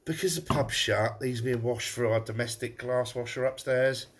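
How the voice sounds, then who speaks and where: A man's voice: medium pitch, steady tone, normal speed. A man, a pub landlord chatting casually on a live stream while tasting beer, his nose blocked up. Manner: chatty, rambling, frequent restarts. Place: room above his pub.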